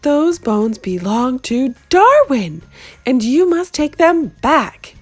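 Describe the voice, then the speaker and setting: A woman voicing a bossy mother cat who gives loud, commanding orders. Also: sits high, sing-song, slow, pitched above the performer's own voice, exaggerated voice. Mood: scolding.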